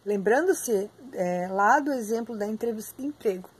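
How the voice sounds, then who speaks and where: A young adult woman with a Brazilian Portuguese accent teaches an online class from a textbook. She sounds hoarse and husky, keeps clearing her throat, fills her talk with hesitations and speaks straight to her students. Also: medium pitch, expressive, moderate pace, slight background noise. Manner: filler-heavy, addresses students directly. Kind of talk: online lecture reading from a textbook.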